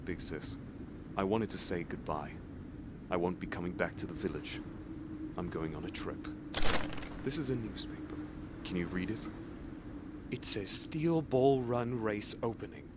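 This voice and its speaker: A man plays a resolute young man from a village, low-voiced and solemn. His delivery is terse and dramatic, as in a manga dub, with clear ambient noise behind him. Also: moderate pace, long pauses, lightly acted. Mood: solemn, quiet.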